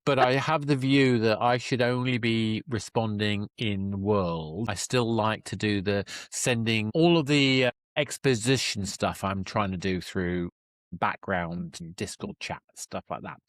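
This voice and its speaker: Male podcast host, lowish pitch, conversational and musing, dry close sound. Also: unhurried, no echo. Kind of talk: casual, discursive conversation.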